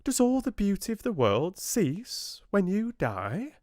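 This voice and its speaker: A man's voice: high-pitched, huge pitch swings, slow, no room sound, studio quality, voice pushed up, heavily acted. A man voicing a tiny carefree mayfly in a storybook, innocent, curious and eager. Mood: curious, merry. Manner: bright, wondering, eager. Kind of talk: storybook character voice.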